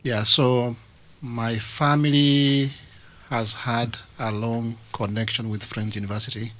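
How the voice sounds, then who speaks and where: A man from Kenya with a Kenyan accent talks as a guest in a radio studio. His voice is bright and forward, and he pauses often with uh and um. The pitch sits in a middle range for a man. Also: level tone. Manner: hesitant, many fillers. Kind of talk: radio interview.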